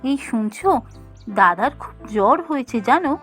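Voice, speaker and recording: A woman's voice: a little high, big pitch swings, normal speed, fairly bright tone, soft background music. A woman voicing a caring, insistent young village wife in a cartoon, earnest and pleading. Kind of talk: cartoon voice acting.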